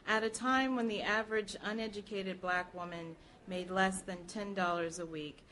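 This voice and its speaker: A woman's voice: mid-range voice, steady tone, moderate pace, a bit bright. An American woman reading aloud from a nonfiction history book at a live public program. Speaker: public reader at a library program. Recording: clearly noisy live event recording.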